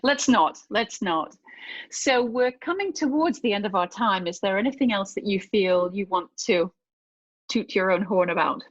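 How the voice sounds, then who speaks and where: Female podcast host, low-ish husky voice, affirming and light, dull dry audio. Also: lively intonation, moderate pace, no room sound. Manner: warm affirming replies, gentle joking. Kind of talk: interview hosting.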